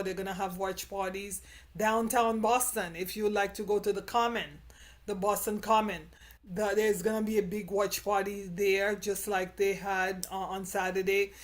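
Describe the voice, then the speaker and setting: A woman hosting a casual live-stream chat, talking in an excited, rambling, upbeat way. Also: medium pitch. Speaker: show host.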